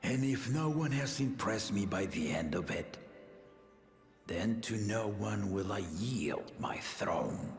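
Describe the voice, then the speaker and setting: Slow, gravelly aging warrior king. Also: fairly low pitch, expressive, roomy sound, long reverb tail, faint music behind. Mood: grave, commanding. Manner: measured, regal, deliberate delivery. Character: aging battle-loving king and father, grave and shrewd. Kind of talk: scripted video game voice acting.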